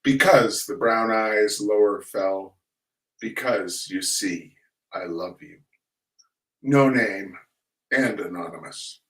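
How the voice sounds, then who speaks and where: A man reads comic essays aloud at an unhurried, measured pace, with a theatrical touch to his delivery. His voice sits at an ordinary pitch. The recording is roomy and echoing, picked up some way from the microphone. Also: fairly bright tone. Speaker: reader of humorous essays. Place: echoey room, recorded at a distance from the mic. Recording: echoey room, distant microphone.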